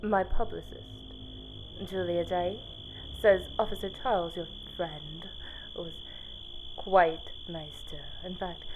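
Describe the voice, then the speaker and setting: A woman plays a wealthy, calculating widow in a noir animated storybook. Her voice sits low, with measured, formal phrasing and a taunting edge. Chirping night ambience is clearly audible behind her. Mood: smug. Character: poised, cunning wealthy widow, a suspect. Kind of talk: scripted animated storybook drama. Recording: clear night-time insect noise behind.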